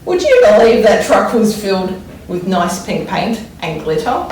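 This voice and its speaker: A woman teacher reading a storybook aloud to young children, expressively and deliberately, pausing to wonder aloud about it. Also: medium pitch, big pitch swings, darkish tone. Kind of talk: expressive children's read-aloud with think-aloud explanations. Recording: echoey room, distant mic, faint noise.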